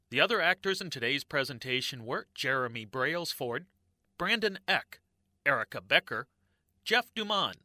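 A man's voice: fairly high pitch, bright, forceful tone, no room sound, clean studio sound. A man reading scripted narration and credits as the producer of a radio show.

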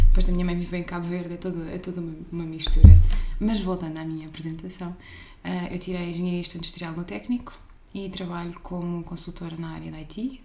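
A woman in her twenties from Portugal with a European Portuguese accent, chatting as a podcast guest and telling her story in an animated way, with restarts. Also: lowish voice, roomy sound, a little hiss or hum. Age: 20s. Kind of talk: casual conversation.

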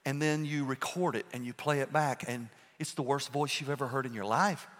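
A pastor preaching a sermon, emphatic and full of rhetorical questions. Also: male voice, mid-range voice, steady tone, brisk, fairly bright tone. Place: church sanctuary. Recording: long reverb in a church hall.

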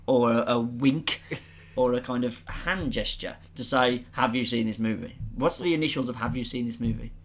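A man's voice: mid-range voice, moderate pace. A man co-hosting a casual film podcast, joking playfully and often trailing off mid-thought. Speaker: podcast co-host.

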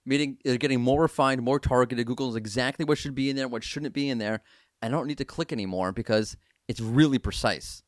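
Fast-talking male podcast host. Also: medium pitch, no echo, clean studio sound. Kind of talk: casual podcast chat.

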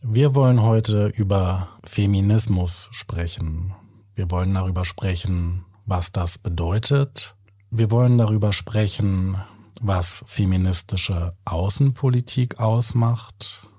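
A man hosting a podcast, introducing and questioning a guest in a measured way. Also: sits low, mellow, no echo, clean studio sound. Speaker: podcast host. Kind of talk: measured interview moderation.

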